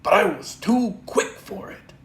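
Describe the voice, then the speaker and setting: A cheerful, boastful storybook tiger who bounces through his lines, loud and raised in pitch, as a reader puts him on. His voice swings up and down with gusto and a gravelly edge. It sounds a little distant in an echoey room. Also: lively intonation, a little slow. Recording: roomy echo, faint background noise.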